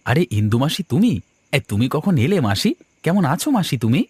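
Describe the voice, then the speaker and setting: Man acting a quick-talking, lively village father. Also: on the high side, slightly rough. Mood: placating. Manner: colloquial, placating. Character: good-natured, placating village father and husband. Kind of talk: Bengali cartoon story dubbing.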